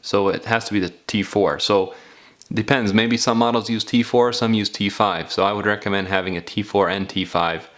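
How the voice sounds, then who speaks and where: Low-voiced man giving casual repair instructions. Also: steady tone, moderate pace, fairly bright tone. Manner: folksy, informal, step-by-step phrasing. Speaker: DIY phone repair instructor. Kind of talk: casual hands-on tutorial narration.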